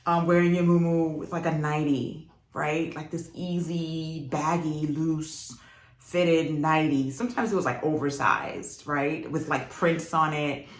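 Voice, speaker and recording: A woman's voice: deep voice, fairly even intonation, a little slow. A young woman from Jamaica, raised in New York, chatting casually to camera about fashion, laughing often and using lots of fillers. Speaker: fashion vlogger. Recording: echoey room.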